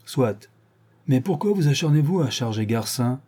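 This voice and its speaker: A man's voice: mid-range voice, expressive, moderate pace, dark tone, slightly rough, pitched above the performer's own voice. A man voicing a stern, condescending court president who lectures the accused. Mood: reproachful. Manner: lecturing, reproachful.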